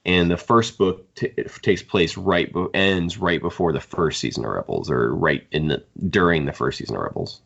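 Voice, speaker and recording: A man's voice: deep voice, lively intonation, normal speed, gravelly, hardly any pauses, a bit bright, slightly rough, lo-fi sound. A man co-hosting a podcast, talking through fan topics in a rambling, conversational way. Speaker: podcast co-host. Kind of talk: casual fan discussion.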